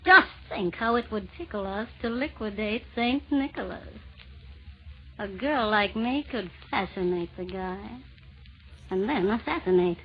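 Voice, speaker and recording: A woman's voice: medium pitch, lively intonation, unhurried, lightly acted. A woman playing a seductive, vengeful poisoner in hell, purring theatrical rhymes in a 1930s radio comedy. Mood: seductive scheming. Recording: dull old-time radio broadcast recording.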